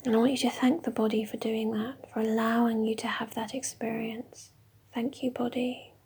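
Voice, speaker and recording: A woman leading a guided meditation in a slow, soft, mostly whispered voice. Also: fairly high pitch, level tone, breathy edge.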